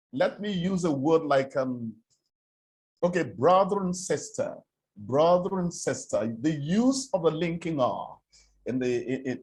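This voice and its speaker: A Nigerian man whose first language is Igbo lectures on English pronunciation. His voice sits slightly high and swings widely in pitch. He talks at an unhurried pace, repeating words as he works through examples. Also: a little mellow. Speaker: presentation coach. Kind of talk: spoken lecture on English diction.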